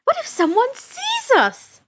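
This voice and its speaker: A woman voicing a bossy, excitable young girl adventurer in a story, exclamatory and easily flustered. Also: extremely high pitch, takes it slowly, slight background noise, pitched above the performer's own voice, full character voice. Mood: flustered, indignant. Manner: exclamatory, exasperated.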